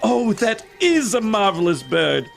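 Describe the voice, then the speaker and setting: Kindly poor clerk for audio drama, male actor: halting, gentle, expressive, light music beneath. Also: high voice, creaky, heavily acted. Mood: wistful, warm. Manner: tender, halting, earnest.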